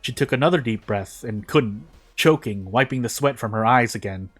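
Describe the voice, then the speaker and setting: A man's voice: medium pitch, normal speed, a bit bright, breathy edge. Man reading a story aloud over music. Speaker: fan fiction reader. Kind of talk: dramatic reading of prose fiction aloud. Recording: music behind the voice. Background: background music.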